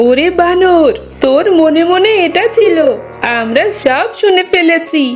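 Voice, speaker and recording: A very high-pitched fox wife , played by a woman from a comedy cartoon. She is bossy, shrewd and suspicious, scolding and commanding with emphasis, over clearly audible music. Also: takes it slowly. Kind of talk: comic cartoon dialogue.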